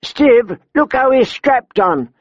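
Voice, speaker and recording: A man voicing a tall, lean, hard outlaw woman, shrewd, rough and scornful, in an audiobook story. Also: extremely high pitch, lively intonation, slow, no echo, lo-fi sound, voice pushed up, exaggerated voice.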